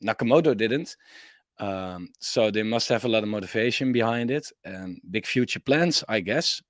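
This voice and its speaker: A man hosting a casual interview, eager and quick to react, often stumbling over the start of his words. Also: on the low side, animated, no echo. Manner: enthusiastic, stammers on word starts. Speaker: interviewer, channel host.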